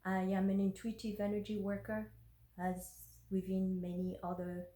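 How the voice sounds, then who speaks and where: A woman's voice: medium pitch, monotone, normal speed, warm tone. A woman whose first language is French, an intuitive energy worker who speaks hesitantly with many ums and uhs in a personal vlog and then reads her journal aloud. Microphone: phone. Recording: roomy phone recording.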